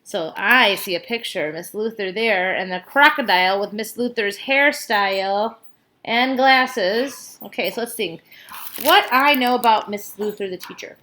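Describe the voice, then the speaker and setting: A woman reading a children's story aloud like a teacher, with expressive emphasis on key words and casual asides. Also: mid-range voice, a little slow.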